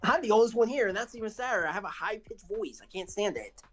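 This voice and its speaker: A woman's voice: mid-range voice, expressive, fast talker. A woman co-hosting a casual chat, joking about herself in a self-deprecating way. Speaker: co-host.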